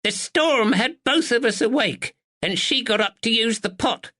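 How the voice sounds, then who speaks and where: A man voicing a sharp, relentless, bedridden elderly mother with a dialect. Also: very high-pitched, expressive, unhurried, voice pushed up, full character voice. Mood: sharp, insistent. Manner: blunt, relentless, dialect "were".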